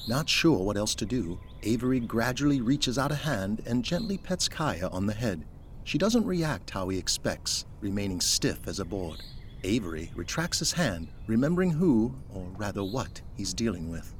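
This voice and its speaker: A man narrating a scripted audio drama in a measured, descriptive storytelling manner. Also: fairly low pitch, sing-song, a little hiss or hum. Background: music.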